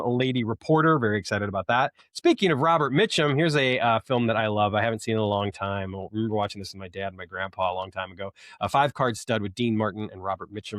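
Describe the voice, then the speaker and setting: A man's voice: mid-range voice, no room sound, clean studio sound. A man hosting a casual unboxing video, talking enthusiastically in a rambling, hesitant way full of ums. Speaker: host of a film collecting video channel.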